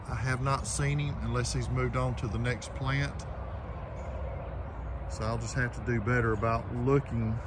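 A man with a Southern US accent casually narrating his backyard chores in a folksy, plainspoken way while outdoors in a garden. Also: medium pitch. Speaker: homesteading vlogger. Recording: outdoor background noise.

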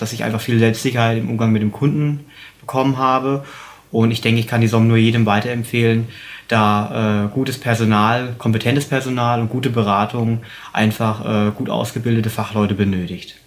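A young man in his twenties gives a testimonial to camera. He speaks carefully, with some hesitant pauses. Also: medium pitch, fairly even intonation. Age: 20s. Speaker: studio department employee.

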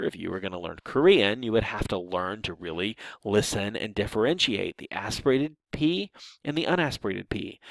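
Gravelly man giving a lecture. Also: fairly low pitch, fairly bright tone, no room sound, low-quality recording. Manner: explanatory, with frequent restarts and fillers. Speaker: linguistics instructor. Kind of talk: recorded lecture over slides.

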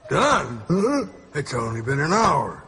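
Old cartoon race car, stern and dry, lively pitch, faint hum behind, as a man plays him. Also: takes it slowly, heavily acted.

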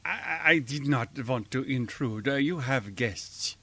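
An actor playing a gentle, earnest older professor with a European accent and halting, formal speech in a radio drama. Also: male voice, mid-range voice, lively intonation, no room sound, heavily acted. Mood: shy, halting. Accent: foreign European. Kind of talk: old-time radio drama acting.

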